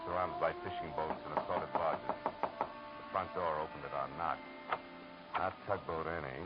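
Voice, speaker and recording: An actor playing a wry, hard-boiled American private detective, clipped and sardonic, narrating and acting in an old-time radio drama. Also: male voice, very deep voice. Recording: muffled, noisy old radio recording.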